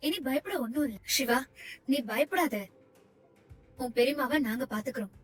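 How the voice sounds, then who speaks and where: An actress in a TV serial playing a calm woman doctor who reassures a worried family. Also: sits high, moderate pace, soft background music. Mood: soothing. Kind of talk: TV serial acting.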